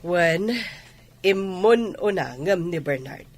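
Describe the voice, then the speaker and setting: A woman's voice: on the low side, expressive, slow pace. A woman playing a determined mother in a radio drama, with an Ilocano Filipino accent. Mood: wistful. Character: firm, determined mother sending her son abroad.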